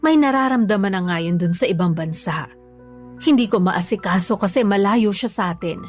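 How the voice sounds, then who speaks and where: A woman's voice: medium pitch, moderate pace, natural voice. Long-suffering mother, female actor, Filipino accent, mid-range, pleading concern, radio drama with music under. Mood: troubled. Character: worried, patient, long-suffering mother and wife.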